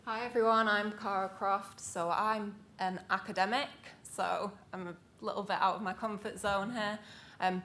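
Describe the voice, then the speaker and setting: A woman academic introducing herself on a panel. She explains things in a measured way, with frequent "um"s and "kind of"s. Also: medium pitch, fairly bright tone, a little hiss or hum.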